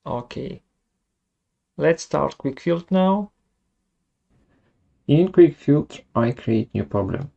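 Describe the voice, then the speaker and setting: A software webinar presenter narrating a tutorial step by step in a measured way, halting between steps. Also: medium pitch, expressive, gravelly, muffled recording.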